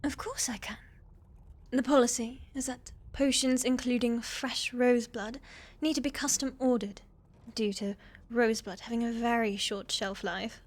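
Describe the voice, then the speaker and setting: A woman's voice: fairly high pitch, fairly even intonation, measured pace. A woman playing a shy, sweet young witch's apprentice in an ASMR fantasy roleplay, polite, hesitant and eager to please. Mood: dutiful.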